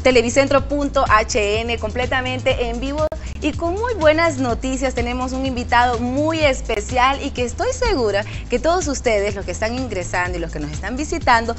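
A woman's voice: high voice, normal speed, soft background music. Bubbly young woman hosting a live show. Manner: bubbly, upbeat hosting with exclamations. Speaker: web show host. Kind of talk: live studio interview. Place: bright TV studio set.

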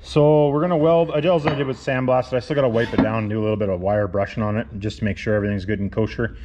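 A man's voice: fairly low pitch, steady tone, fast, background noise. Rambling man in a welding shop. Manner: rambling, self-correcting, plain-spoken. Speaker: welder and channel host. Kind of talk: casual workshop how-to talk. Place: cluttered workshop garage.

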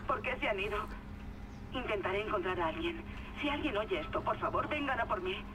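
A woman's voice: mid-range voice, animated, fairly quick, natural voice. A woman playing a frightened, abandoned patient, anxious and pleading, in a horror video game. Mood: anxious confusion. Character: frightened, abandoned female patient calling for help. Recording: very noisy in-game audio log.

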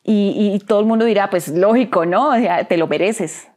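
Earnest Colombian woman, hushed and halting. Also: medium pitch. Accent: Colombian Spanish. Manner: earnest, halting, repeats words, drops to near-whisper at heavy moments. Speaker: interview guest. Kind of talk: emotional personal storytelling.

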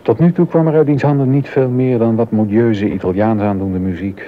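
A man's voice: on the low side, steady tone, brisk, close to an everyday voice. An actor playing a proud, aggrieved German baroque composer and court organist in a Dutch docudrama, indignant and wry as he tells his grievances. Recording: dull sound with background noise.

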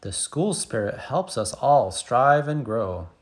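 Storybook role: excited little schoolgirl, played by a man, expressive and animated, unhurried delivery. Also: medium pitch. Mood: eager, upbeat. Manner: expressive, emphatic sound-effect words. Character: excited little schoolgirl telling her first day. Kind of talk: picture book read-aloud.

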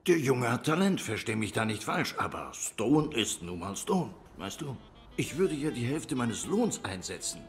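A man's voice: mid-range voice, very animated, unhurried, faint music behind. An actor playing a bored, self-righteous dog policeman who rambles insistently in a noir video game. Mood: defensive, animated.

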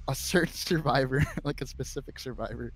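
A man's voice: mid-range voice, a little fast, husky, poor audio. A playful, exclamatory man chatting casually while gaming and swearing freely.

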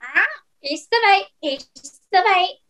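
A pirate's parrot with an extremely high, piercing voice. It squawks out repeated chants in a forceful, radio-play cartoonish way. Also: female voice, expressive, slow pace, heavily acted. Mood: squawky, taunting. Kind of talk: amateur radio play acting.

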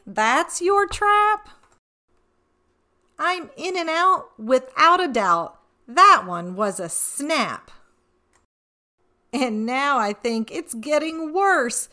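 A woman's voice: high voice, sharp tone, heavily acted. Woman as a cheeky, lilting storybook leprechaun. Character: cheeky, boastful, speedy male leprechaun from a picture book. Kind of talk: rhyming storybook read-aloud.

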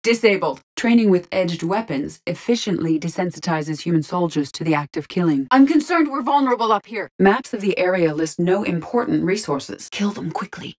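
An actress playing a composed, analytical female artificial intelligence in a video game, with precise, formal, measured diction. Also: fairly low pitch, animated, a little mellow. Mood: urgent, alert. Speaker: game character, squad companion. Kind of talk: video game voice lines, sci-fi acting.

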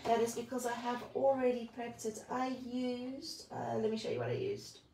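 A woman hosting a live Bible journaling stream, chatty and friendly, greeting viewers by name, a little croaky today. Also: a little high, lively intonation, moderate pace, dark tone. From South Africa. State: slightly croaky. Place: indoors at a craft table. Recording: distant mic, faint room noise.

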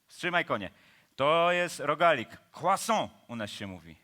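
An actor as a jovial Parisian charmer, unhurried and swooping. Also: male voice, fairly high pitch, very animated, pushed and bright, full character voice. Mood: amused teasing. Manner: colloquial, teasing, chuckling, drawn-out theatrical phrasing. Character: jovial, cheeky Parisian man about town, laid-back charmer. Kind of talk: operetta radio play acting.

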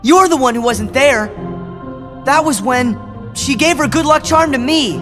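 A man's voice: very high voice, unhurried, big-room sound. A headstrong teen boy hero. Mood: accusing. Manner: defensive, impatient. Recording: game cutscene audio with music.

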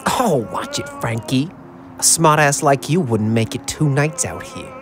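An actor playing a smug rival mobster in a crime audio drama, taunting with a condescending drawl. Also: male voice, medium pitch, a little slow, music in the background, lightly acted. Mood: menacing. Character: smug male rival mobster, a made man.

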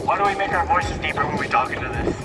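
Teenage boy, high voice, playful prank vlogging, megaphone, loud music behind, outdoors. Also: fast. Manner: playful, jokey. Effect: often speaks through a megaphone. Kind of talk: casual joking chat, shouting to strangers. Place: outdoors on suburban streets and park. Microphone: camera mic a few steps away.

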